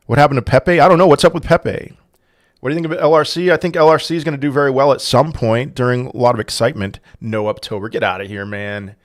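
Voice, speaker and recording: Man casually answering livestream chat. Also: a little high. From Portugal. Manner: rambling, reacts to chat questions, frequent restarts. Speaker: crypto livestream host. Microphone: desk microphone.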